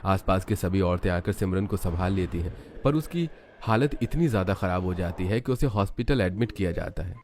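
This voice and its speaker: A man's voice: low-pitched, lively intonation, a little fast, few pauses, darkish tone, slight background noise. A man reading scripted narration for a cartoon story as its narrator.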